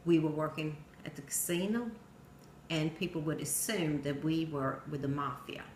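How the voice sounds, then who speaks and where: A middle-aged woman from Sweden with a Swedish accent, telling a personal story in plain storytelling with pauses and some non-native grammar. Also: sits low, steady tone. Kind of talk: personal narrative speech. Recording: echoey room with faint noise.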